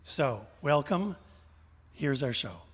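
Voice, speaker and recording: A man's voice: mid-range voice, sing-song, takes it slowly, slightly rough. A man hosting a live radio theater show from a church, folksy and tongue-in-cheek, with theatrical emphasis. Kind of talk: live stage hosting, storytelling. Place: large church sanctuary, indoors. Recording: reverberant church sanctuary.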